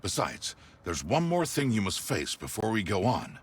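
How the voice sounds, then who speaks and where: An actor playing a gruff, kindly older guardian and playful narrator in a family adventure game, with a wry, hammy delivery. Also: male voice, fairly low pitch, huge pitch swings, normal speed, exaggerated voice. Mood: wry, fond. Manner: wry, hammy, comically flustered. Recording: music behind.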